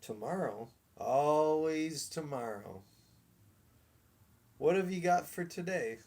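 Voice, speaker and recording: A man voicing a shifty, sharp-tempered showman in a children's storybook, scornful, haggling and theatrical. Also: fairly high pitch, expressive, slow, pitched above the performer's own voice, exaggerated voice. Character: shifty, sneering, sharp-tempered male peep-show showman. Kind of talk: children's storybook read aloud.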